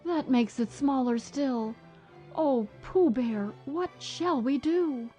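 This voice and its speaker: A man's voice: very high voice, takes it slowly, warm tone, faint music behind, voice pushed up. A man voicing an eager, affectionate young boy in a storybook reading, talking earnestly and a little bossily.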